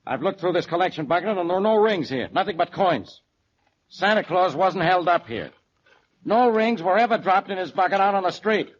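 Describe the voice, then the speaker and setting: An actor playing a blunt, sarcastic police inspector who mocks and doubts, in 1940s radio style. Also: male voice, high voice, expressive, a little fast. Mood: scornful. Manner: blunt, mocking. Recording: dull, noisy old radio recording.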